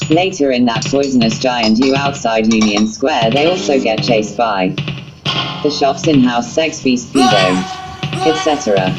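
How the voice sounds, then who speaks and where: Female story narrator, very deep, literal text-to-speech delivery, guitar backing. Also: poor audio. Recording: music under the voice. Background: guitar music.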